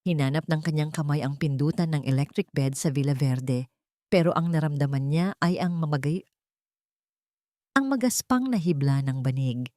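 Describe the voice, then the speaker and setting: A woman's voice: low voice, moderate pace, mellow, dry recording, clean studio sound. A woman giving dramatic scripted narration as a storyteller.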